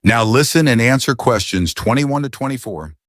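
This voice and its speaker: A man's voice: mid-range voice, expressive, moderate pace, dry recording, clean studio sound. A man reading scripted exam instructions as an announcer, in a formal, neutral manner.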